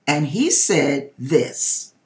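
A middle-aged American woman reads dramatically to her viewers from her kitchen. Her voice sits slightly low and swings widely in pitch. She takes her time, stretching words for theatrical emphasis. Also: sing-song, drawn-out words. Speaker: host reading viewers' dream accounts. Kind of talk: dramatic reading and direct address to viewers. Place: home kitchen, indoors.

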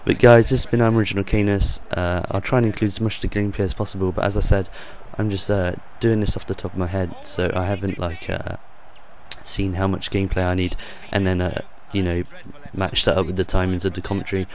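A young man with a croaky voice hosting his gaming channel, rambling off the cuff with lots of ums and uhs over game footage. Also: sits low, little pitch movement, quick pace, a little mellow, a little hiss or hum.

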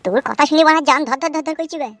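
A woman voicing an excitable, foul-mouthed young village man in a Bangla comedy cartoon, with a coarse, slangy, agitated manner. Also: sits high, racing, no echo, soft background music. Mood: anxious.

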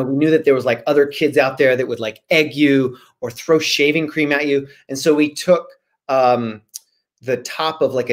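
A man in his forties with an American accent, chatting casually and playfully as a guest on a video call, rambling as he tells stories. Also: mid-range voice. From Rhode Island, United States. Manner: animated, gesturing, playful, rambling. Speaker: guest author and screenwriter. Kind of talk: casual storytelling in an online interview. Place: small home room, indoors. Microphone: computer mic on a video call.

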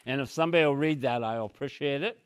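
A man's voice: mid-range voice, fast talker, no echo. An older man giving an expository Bible lesson in a small church room, speaking from a podium mic and often stepping away from it, emphatic and repeating key phrases. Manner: emphatic, repeats "so very clearly", gestures with both hands. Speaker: Bible teacher. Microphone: podium mic, walks away from it.

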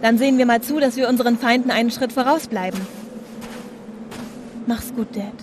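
A woman's voice: fairly high pitch, moderate pace, natural voice. A woman playing a wistful but determined young woman in an audio drama. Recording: noisy vehicle-like ambience behind.

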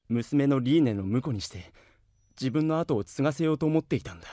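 A man's voice: mid-range voice, a little mellow, no room sound, clean studio sound, natural voice. A man playing a defiant, bitter young villager in an anime audio drama.

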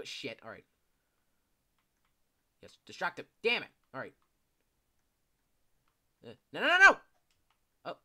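A young man giving casual, excitable commentary while playing a video game, swearing often and yelling when chased. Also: on the low side. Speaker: gameplay commentator. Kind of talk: casual live gameplay commentary.

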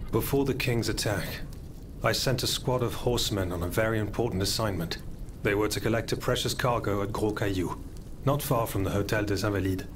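A man's voice: on the low side, fairly quick, noisy background, natural voice. A man voicing a grave, honorable, world-weary military commander in a video game, speaking solemnly and formally. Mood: worried, guarded. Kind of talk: video game cutscene acting.

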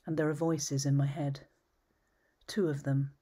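A woman reading her own poems at home, measured and thoughtful, often trailing into a whisper. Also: sits low, level tone, mellow, husky. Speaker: poet. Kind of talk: poetry reading. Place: home study with bookshelves, indoors.

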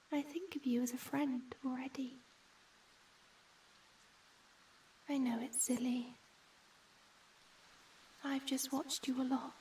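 Woman as a shy, whispery, slow-speaking ghost girl. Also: high-pitched, far from the mic, a little hiss or hum, rough recording. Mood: shy, affectionate. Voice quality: soft, breathy, whispery. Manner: slow, tender, hesitant. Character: shy, gentle young female ghost, tender and devoted. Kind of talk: ASMR comfort roleplay.

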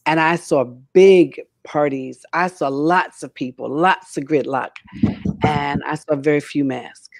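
A woman's voice: sits low, fairly even intonation, a little slow, no echo. An older woman hosting a casual panel chat on a video call from home, speaking emphatically and repeating phrases for stress. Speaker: talk show host.

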